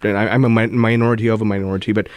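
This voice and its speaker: A man's voice: fairly low pitch, flat delivery, very fast, no room sound. Man from Malaysia, radio host, earnest, repeats words when starting, dry studio sound. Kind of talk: radio talk show conversation.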